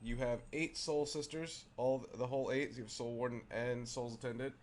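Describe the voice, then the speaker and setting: A man with a raspy voice who is clearly under the weather hosts a casual card game show. He walks through a deck at a middling pitch, hesitating often with fillers and restarts. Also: fairly even intonation, a little hiss or hum. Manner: halting, many fillers and restarts. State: unwell, raspy voice. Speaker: host.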